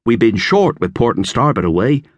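A man's voice: mid-range voice, sing-song, moderate pace, no echo, pitched above the performer's own voice. A man voicing Joe, a practical young boy, in an audiobook. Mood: matter-of-fact. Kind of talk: audiobook character voice.

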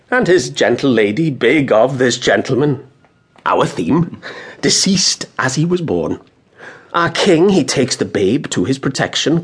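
A confiding male courtier in classical Shakespearean radio drama, full of news and admiration. The voice is very breathy and rough, with a lively, wide-swinging melody. He weighs his phrases rhetorically, leaning hard on key words. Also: medium pitch, full character voice.

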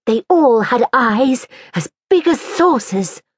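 A woman's voice: on the high side, sing-song, takes it slowly, breathy edge, no room sound, clean studio sound, pitched above the performer's own voice, heavily acted. A woman voicing a haughty, boastful young girl with a pompous, grand manner in a storybook reading. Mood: storytelling.

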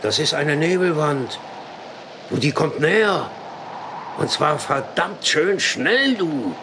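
An actor playing an uneasy deputy engineer on an oil rig in a German audio drama, talking colloquially and hesitantly. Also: male voice, a little high, big pitch swings, slow, background noise, full character voice.

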